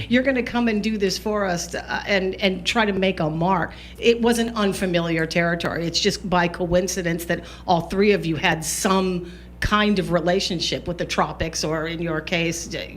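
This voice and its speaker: A woman's voice: lowish voice, normal speed, a little hiss or hum. A woman hosting a radio talk show, asking guests chatty, encouraging questions. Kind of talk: radio interview.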